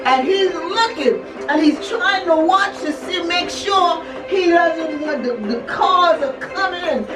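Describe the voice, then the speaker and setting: An older woman telling a story in an animated, dramatic way, repeating words and phrases as she goes. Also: extremely high pitch, takes it slowly. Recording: roomy echo, distant mic, music behind.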